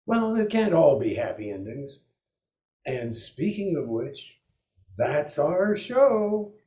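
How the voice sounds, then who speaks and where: Theatrical 1940s radio co-host, male performer: earnest, expressive melody, bright tone, roomy recording. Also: fairly high pitch, slow pace. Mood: showmanlike. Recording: roomy, echoing sound.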